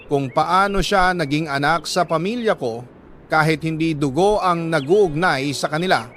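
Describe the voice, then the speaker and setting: A Filipino man with a slightly high voice narrates a first-person love story from a letter. His delivery is sentimental and measured, falling into short phrases with pauses. Some noise sits behind the voice. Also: a bit bright. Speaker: storyteller reading a listener's letter.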